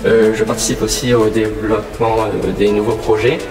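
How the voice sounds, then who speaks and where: A man's voice: on the low side, little pitch movement. A man in his twenties from the south of France giving a hesitant workplace testimony. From Fréjus, southern France. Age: 20s. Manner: hesitant, many filler pauses. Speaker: company employee giving testimony. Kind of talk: casual interview testimony. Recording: roomy, distant mic, background music.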